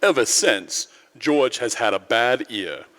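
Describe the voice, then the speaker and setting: An actor playing a senior angel narrating a life story, measured and authoritative, in a 1940s radio drama style. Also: male voice, medium pitch, animated, a bit bright. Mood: calm authority. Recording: reverberant church hall, live stage.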